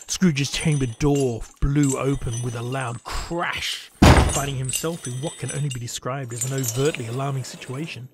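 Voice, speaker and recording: A male narrator tells a scripted story in a dramatic audio drama style. His pitch is average for a man. Clearly audible noise and sound effects run behind the voice. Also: moderate pace.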